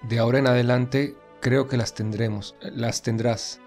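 A man playing a tender, earnest, determined young gentleman in love in a romantic audio drama. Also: medium pitch, steady tone, normal speed, soft background music, close to an everyday voice.

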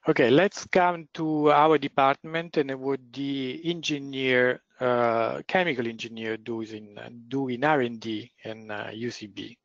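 A man speaks into a computer mic on an online call, and the audio comes through dull and dry. His voice is loud and mid-pitched. He lectures haltingly, filling every gap with "uh" and starting sentences over. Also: steady tone, vocal fry, no echo. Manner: hesitant, many fillers and restarts. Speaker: guest lecturer, process engineer. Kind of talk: webinar presentation.

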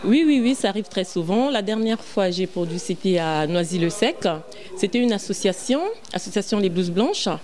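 An earnest woman from Congo, a gospel singer in her thirties, answering an interviewer at an unhurried, medium pitch. She speaks into a handheld mic held close by the host, with steady, plain delivery. She pauses often and restarts her phrases. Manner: earnest, many fillers and restarts. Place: small indoor studio set on a sofa.

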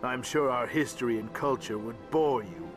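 A man's voice: medium pitch, moderate pace, close to an everyday voice. An actor playing a grumpy, condescending small alien male ambassador in a video game, over background music. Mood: resentful. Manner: curt, resentful, condescending.

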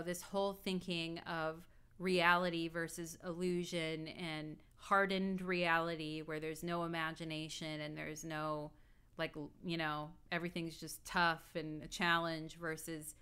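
A woman's voice: sits low, little pitch movement, unhurried, bright, forceful tone, smooth. A woman in her thirties, an astrologer and tarot reader, talking casually and reflectively to her viewers with lots of "like" and "you know", recorded indoors at home on a webcam. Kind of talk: casual video talk.

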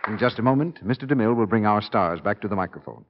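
A man's voice: fairly low pitch, expressive, fast, noisy background. A man with an American accent working as a radio announcer, in 1940s announcing and advertising style. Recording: muffled 1940s radio broadcast.

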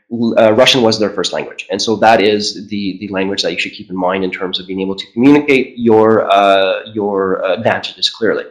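A middle-aged man giving a webinar presentation as a trade commissioner, measured, with many "uh" fillers and restarts, on a video call. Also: mid-range voice, rough recording. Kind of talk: webinar presentation over slides.

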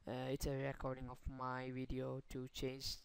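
A man hosting a screen-recorded software tutorial in a hesitant, halting way. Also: mid-range voice, completely flat delivery, creaky, clean tone, no echo. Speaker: tutorial host.

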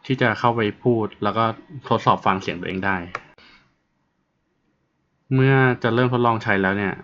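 A man presenting an informal tutorial, explaining step by step in a halting way. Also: on the low side, fairly bright tone. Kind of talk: informal app walkthrough narration. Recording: muffled, dull audio with faint noise and clicks.

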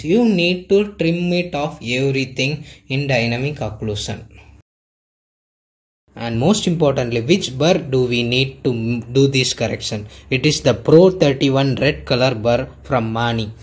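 A man teaching a dental technique in an instructional voice-over, explaining step by step in an earnest, plain way. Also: mid-range voice. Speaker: dentist teaching clinical technique.

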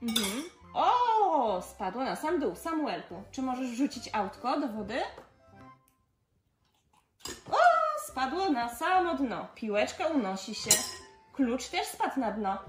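A woman's voice: high-pitched, very animated, a little slow. A woman in her twenties guiding small children through a demonstration with encouraging, simple instructions and questions. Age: 20s. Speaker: presenter of a children's experiment. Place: living room indoors. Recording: echoey living room, music behind.

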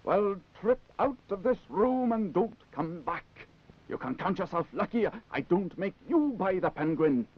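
A man's voice: sits high, sing-song, measured pace, slightly rough, heavily acted. An actor playing an irritable, blustering middle-aged male boss in a 1960s children's puppet show. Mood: angry. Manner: stammering, blustering, exasperated. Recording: dated, muffled 1960s TV soundtrack.

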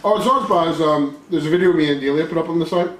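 A man's voice: a little high, racing, fairly bright tone. Joking man hosting a taste test. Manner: joking, laughs often, rambling. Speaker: host. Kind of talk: casual chat. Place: small room with bookshelves, indoors. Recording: echoey room, faint hiss.

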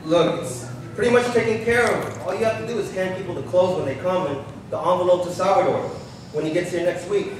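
In a very reverberant hall, a young actor as an anxious, patient son explains himself earnestly. His voice is on the high side, picked up from a distance in a staged reading. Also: fairly quick, lightly acted. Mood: insistent. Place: large glass-walled public hall, on stage. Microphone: handheld. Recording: echoey hall, distant stage microphone, faint noise.

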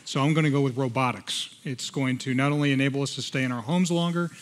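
A man speaking as a panelist on a conference stage, quick and wry, with a headset mic. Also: medium pitch, fairly even intonation. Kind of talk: panel discussion answer.

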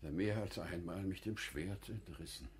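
An actor playing a courteous, cautious older merchant in a radio drama, speaking deliberately and with some hesitancy. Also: male voice, low voice, a little hiss or hum, lightly acted. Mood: startled, guarded. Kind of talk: classical German radio drama acting.

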